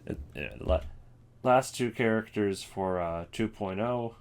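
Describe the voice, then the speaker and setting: A man's voice: fairly low pitch, measured pace, smooth. A man giving casual, unscripted video commentary, rambling and thinking aloud in a wry way. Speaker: video host ranking game voice lines.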